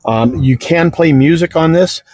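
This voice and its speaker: A man's voice: fairly high pitch, measured pace, a little mellow, dry recording. A middle-aged American man giving a casual, unscripted product demonstration in a folksy, rambling way. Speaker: product reviewer.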